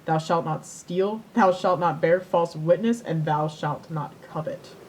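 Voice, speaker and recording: A podcast co-host in casual comedic chat, musing aloud in a wry way. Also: a little slow. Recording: noisy recording with low rumble.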